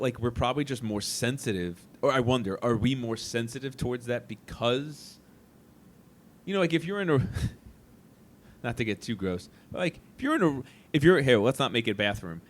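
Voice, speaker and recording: A man hosting a casual podcast, musing aloud with playful, jokey asides while chatting with students. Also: medium pitch. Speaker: podcast host.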